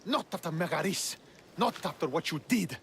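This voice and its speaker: Game cutscene: a resentful army commander, sneering, swinging pitch, faint ambience. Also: male voice, expressive, normal speed, gravelly, a bit bright, slight background noise. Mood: scornful. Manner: scornful, resentful. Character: bitter, hostile male Spartan commander. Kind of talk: video game cutscene acting.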